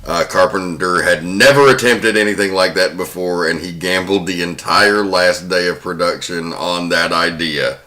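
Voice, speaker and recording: A man's voice: low-pitched, normal speed, few pauses, sharp tone. A man co-hosting a film podcast, presenting behind-the-scenes commentary to friends. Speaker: podcast co-host. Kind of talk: prepared film trivia commentary among friends.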